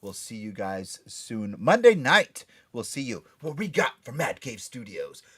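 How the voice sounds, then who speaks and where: A man hosting a podcast with excitable, joking banter, rambling and repeating phrases for effect. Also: medium pitch, unhurried, slightly rough. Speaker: podcast host.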